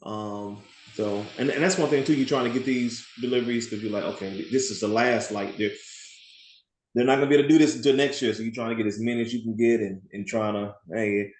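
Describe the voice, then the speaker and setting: A man's voice: fairly low pitch, fairly even intonation, quick pace, darkish tone, a little hiss or hum. Man, party rental owner; casual, rambling, laughs a lot; roomy sound. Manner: chatty, rambling, laughs often. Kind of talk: casual video call roundtable.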